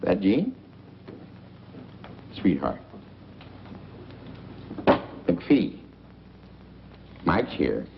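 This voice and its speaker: An actor playing a gruff, grumbling, folksy small-town newspaperman in 1940s film style, on a muffled old soundtrack. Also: deep voice, wildly swooping pitch, very slow, pauses often, background noise, full character voice. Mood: grumbling, friendly.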